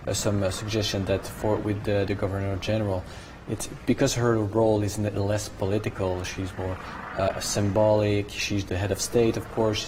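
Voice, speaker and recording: A French Canadian man, a native French speaker, giving conversational live studio analysis and searching for his words. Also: lowish voice. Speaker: studio commentator, French-language coverage host. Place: broadcast studio. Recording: clear background noise.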